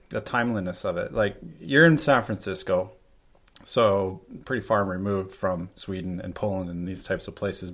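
A man's voice: sits low, fairly bright tone. A man from Canada hosting a casual interview, asking rambling, curious questions. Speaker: podcast host.

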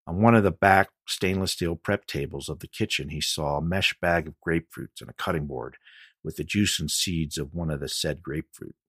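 A man with a low voice narrates a mystery story as an audiobook. The recording is dry, with hardly any room sound, and he reads from a script as the author. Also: normal speed, fairly bright tone, no room sound. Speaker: author reading his own audiobook.

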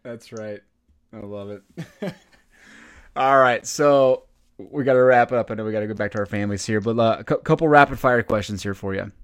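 Man, podcast host, upbeat, quick interjections, casual interview. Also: on the low side, smooth.

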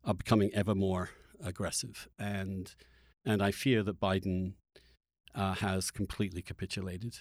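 A man hosting a conversational interview podcast, speaking articulately with halting phrasing and emphatic word choices. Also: low-pitched, level tone, a little mellow, no room sound, clean studio sound. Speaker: podcast host.

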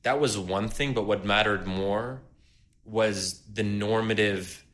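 Deep, bright-toned man musing aloud. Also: takes it slowly. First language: English. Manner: thinking aloud with many fillers and restarts; laughs lightly while making points. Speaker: podcast co-host. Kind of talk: casual intellectual discussion.

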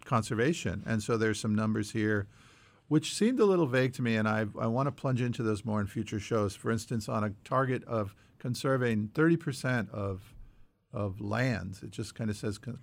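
A man co-hosting a podcast, talking through news points in a measured, explanatory way with frequent qualifiers and a gravelly edge. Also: fairly low pitch. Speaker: podcast co-host. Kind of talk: conversational news discussion working through numbered points.

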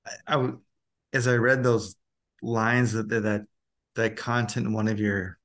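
A man's voice: fairly low pitch, level tone, measured pace, fairly bright tone. Casual male interview host.

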